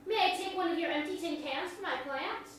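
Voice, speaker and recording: A woman's voice: extremely high pitch, a little fast, fairly bright tone. A woman playing a friendly, polite country girl of about twelve in a staged play reading, with folksy, courteous speech. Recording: very echoey hall, distant mic, faint noise.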